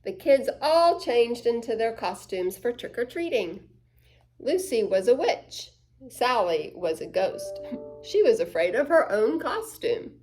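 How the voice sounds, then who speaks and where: Children's story reader, woman: lively read-aloud, gasps and asides, unhurried. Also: fairly high pitch, soft background music. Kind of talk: picture book read-aloud.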